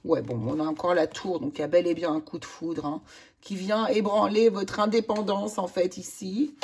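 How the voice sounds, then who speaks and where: A woman with a hoarse voice, chatting enthusiastically through a tarot card reading for viewers. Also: on the low side, fairly even intonation, normal speed, a little mellow, a little hiss or hum. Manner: chatty, enthusiastic, many fillers and exclamations. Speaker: tarot reader.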